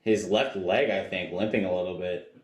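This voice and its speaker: A man's voice: deep voice, moderate pace, roomy sound. A man giving reactions as color commentator on a live soccer broadcast.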